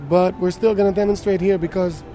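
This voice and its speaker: A man, a band musician, talking in a casual interview in an animated, storytelling way. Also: sits high, a little fast, soft background music.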